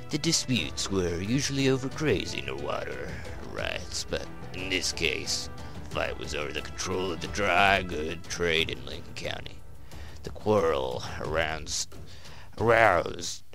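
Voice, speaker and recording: Gameplay commentator, male, cavernously deep and gritty, muttering to himself, slow delivery. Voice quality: gravelly, very deep. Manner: mutters to himself while playing, sometimes reads aloud. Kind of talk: casual let's play commentary. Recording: game music behind. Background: game music.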